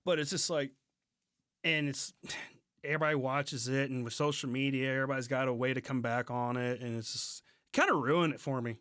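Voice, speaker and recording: A man co-hosting a podcast, chatting informally in a halting, conversational way. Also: medium pitch, fairly even intonation, a bit bright, clean tone, no echo, clean studio sound. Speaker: podcast co-host. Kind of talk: casual podcast chat. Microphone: close podcast mic on remote recording.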